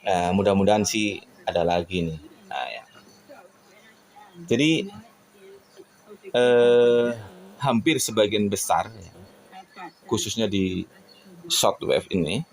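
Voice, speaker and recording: A man from Indonesia with a lowish, creaky voice speaks off the cuff to his viewers. He is earnest and reflective, feeling his way through sentences and turning to rhetorical questions. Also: expressive, slow, long pauses. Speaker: radio hobbyist vlogger. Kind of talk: casual reflective monologue to viewers.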